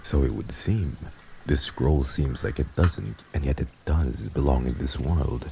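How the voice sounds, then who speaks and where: A man's voice: rumbling low, creaky, warm tone, a little hiss or hum, full character voice, low-quality recording. A man playing a heartless vampire lord and crime boss in a fantasy audio roleplay, speaking in a pompous, archaic, menacing way.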